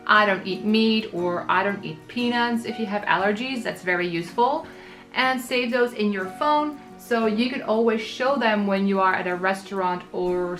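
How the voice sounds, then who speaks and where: A woman in her thirties from the Netherlands talks through travel tips, clear and practical in a scripted vlog delivery. Her voice sits a little high, with soft music underneath. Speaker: travel vlogger.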